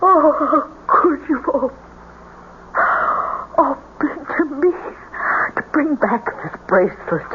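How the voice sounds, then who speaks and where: A woman's voice: high voice, very animated, takes it slowly, long pauses, exaggerated voice. Grieving mother, wildly swooping voice. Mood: tearful gratitude. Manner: breathless, repeating herself emotionally. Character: grieving, gullible, lonely mother of a dead soldier. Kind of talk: old-time radio melodrama acting. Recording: noisy, tinny old radio broadcast.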